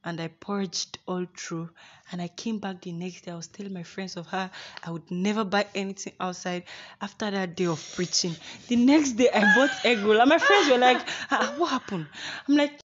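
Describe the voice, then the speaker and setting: A young woman with a Nigerian accent hosts a casual podcast chat. She is playful and teasing, laughing often, at a middle pitch. The sound is muffled and low-fidelity. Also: normal speed. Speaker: podcast host.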